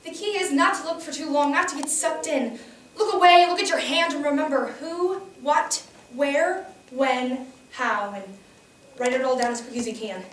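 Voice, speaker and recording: A woman's voice: sits high, normal speed, slight background noise, natural voice. A woman playing a blunt older male newspaper editor, giving brusque, clipped, commanding advice in a dramatic monologue. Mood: stern, instructing. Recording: echoey room, distant mic.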